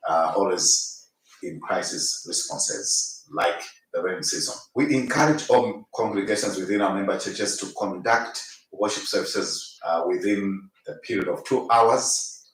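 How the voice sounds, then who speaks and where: A man's voice: sits low, a bit bright. A man making a formal public statement as a church leader. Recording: very echoey room, distant mic.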